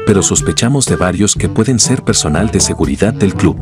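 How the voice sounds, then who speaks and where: A man's voice: low voice, animated, fairly quick. A man narrating a mocking commentary voice-over, scornful and sarcastic, with music behind. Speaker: channel narrator.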